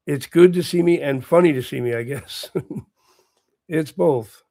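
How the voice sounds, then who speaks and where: Hoarse man hosting a relaxed stream. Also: on the high side, slow pace, no room sound, studio quality. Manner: talks quietly, chatty and encouraging. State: hoarse, recovering lost voice. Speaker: livestream host. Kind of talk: casual chat with viewers. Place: at home with morning coffee.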